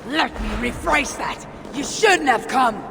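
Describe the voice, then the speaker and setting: A woman's voice: sits high, expressive, unhurried, full character voice. Casting: proud Viking fighter woman, blunt, defiant, impatient, game drama, long reverb and noisy ambience. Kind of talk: dramatic video game acting. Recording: reverberant, with clear background ambience.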